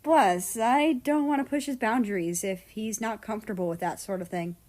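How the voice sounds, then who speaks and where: A woman's voice: mid-range voice, moderate pace, darkish tone, natural voice. A woman voicing a casual, playful young man with slangy, teasing speech in a read-aloud story. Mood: reluctant. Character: young male America, casual, playful, slangy, easily exasperated.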